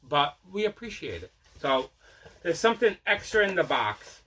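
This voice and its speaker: Bright-voiced man, casual host. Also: a little high, sing-song, normal speed, a little hiss or hum, low-quality recording. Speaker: video host showing mail and movie collection. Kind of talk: casual unboxing chat.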